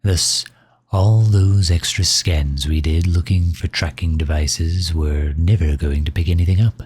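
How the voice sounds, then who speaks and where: A man's voice: low voice, steady tone, measured pace, darkish tone, slightly rough. A man playing a pompous, suave megalomaniac villain in an ASMR audio roleplay, with leisurely, formal wording and impatience toward his servant. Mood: smug, explaining.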